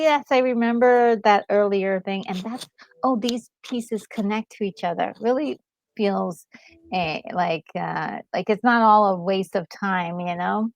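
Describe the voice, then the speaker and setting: A woman's voice: mid-range voice, vocal fry, dry recording. A woman co-hosting a gaming video, chatting casually and acting out reactions as she tells the story. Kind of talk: casual gaming commentary and chat. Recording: music playing behind the voice. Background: music.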